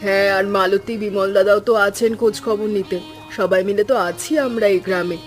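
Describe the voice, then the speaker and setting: A woman voicing a caring, neighbourly village woman in an animated story, concerned and soothing in manner. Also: mid-range voice, brisk, soft background music, natural voice. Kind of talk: Bengali animated story dialogue.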